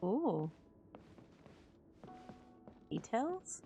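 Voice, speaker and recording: Slow-talking woman streaming a game. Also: fairly high pitch, very animated, gravelly, over music. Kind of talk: casual playthrough commentary.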